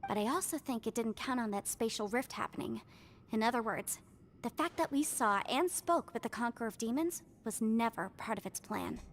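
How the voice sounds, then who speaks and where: A woman's voice: on the high side, lively intonation, fairly quick, slight background noise. A woman voicing a clever, confident young strategist in a fantasy video game, explaining things earnestly and articulately. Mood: thoughtful, hopeful. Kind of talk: scripted video game voice acting. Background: game music.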